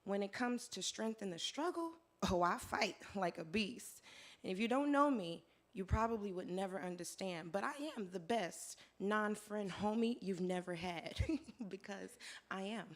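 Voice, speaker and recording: A hoarse woman performing her spoken word poetry live, in a rhythmic rhyming delivery that swings between soft lines and loud declarations. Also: medium pitch, normal speed. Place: live venue with audience.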